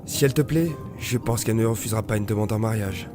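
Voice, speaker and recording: A man's voice: on the low side, fast talker, over music, lightly acted. An actor playing a kindly village baker and father in an audio drama, speaking in an encouraging, paternal manner. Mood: genial, coaxing.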